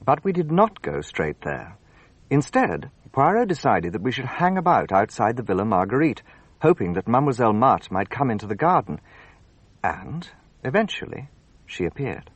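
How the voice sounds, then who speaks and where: An actor playing an earnest, slightly slow gentleman sidekick in a radio drama, with an eager, puzzled manner. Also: mid-range voice, very animated, breathy edge, no echo. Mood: narrating.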